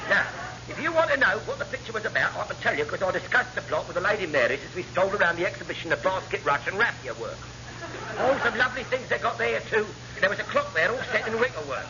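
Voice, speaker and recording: Glib, high-pitched wily navy chief. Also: male voice, expressive, fast talker. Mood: mock-innocent. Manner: glib, mock-innocent storytelling. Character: wily chief petty officer with endless dubious alibis. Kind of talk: vintage radio comedy acting. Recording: dull, noisy old radio recording.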